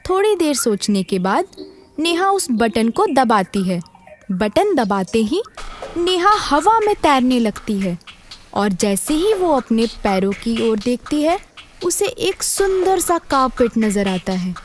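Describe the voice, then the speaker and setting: A woman's voice: on the high side, very animated, takes it slowly. A woman narrating a scripted children's story as storyteller, with music behind her.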